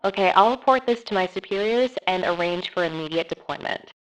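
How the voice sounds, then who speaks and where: A woman's voice: fairly low pitch, a little fast, close to an everyday voice, rough recording. A woman playing a military subordinate who reports to a superior in an amateur horror series. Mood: urgent report. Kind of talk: amateur analog horror dialogue.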